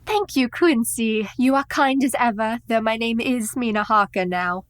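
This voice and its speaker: A woman's voice: on the high side, moderate pace, natural voice. An actress playing a young married Victorian woman in a radio play, formal, composed, earnest and determined. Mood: polite, gracious.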